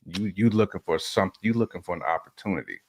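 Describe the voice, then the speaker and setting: A man with a deep, low voice talks in a relaxed conversational style. He makes his points emphatically, circling back on phrases to drive them home as a guest on a podcast. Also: fast talker. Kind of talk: casual conversational opinion talk.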